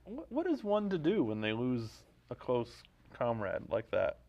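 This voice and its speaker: A man hosting a comedy show with casual banter, in a hesitant, deadpan manner. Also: mid-range voice, unhurried, creaky. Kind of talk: casual bantering monologue and interview. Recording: dull lo-fi recording.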